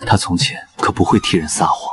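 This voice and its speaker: A man playing a proud, sharp-tongued nobleman in an animated ancient court drama. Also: low voice, brisk. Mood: proud, wry. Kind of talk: Chinese animated period drama acting and narration.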